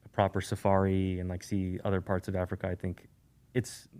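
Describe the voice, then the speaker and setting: A man from Eastern Europe chats as a podcast guest. His voice sits a little low, and he rambles easily, circling back with fillers and restarts. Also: monotone, a little fast, mellow, smooth. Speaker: guest, sneaker YouTuber. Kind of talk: casual podcast conversation.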